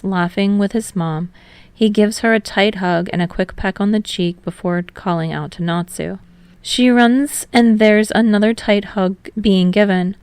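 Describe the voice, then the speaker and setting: A young adult woman hosting and narrating fan fiction audio, speaking in an expressive, conversational storytelling style. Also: medium pitch, clean tone, no room sound. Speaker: podfic narrator and channel host. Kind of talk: expressive story narration and casual chat to listeners.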